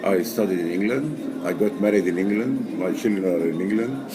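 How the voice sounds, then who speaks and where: A man in his sixties, raised in Egypt, answering interview questions casually in halting English full of fillers and restarts. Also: low-pitched, animated. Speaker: poker player guest. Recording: music playing behind.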